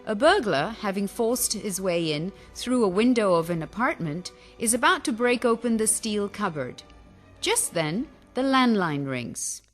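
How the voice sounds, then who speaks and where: A woman's voice: medium pitch, expressive, measured pace. A woman announcer giving scripted narration to introduce a radio play. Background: music.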